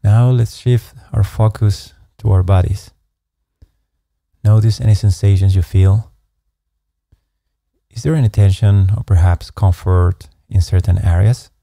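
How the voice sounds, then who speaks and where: A man guides a meditation in a low, very dark and mellow voice. His delivery is calm and measured, staying even in pitch from phrase to phrase, with soft instructions given one step at a time. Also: creaky, no echo, studio quality.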